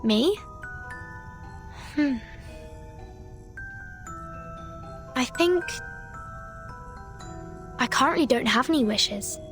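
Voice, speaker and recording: An actress playing a calm, reserved young female leader with a measured, polite manner in a video game. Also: high-pitched, faint music behind, heavily acted. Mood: polite, thoughtful. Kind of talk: English video game character acting.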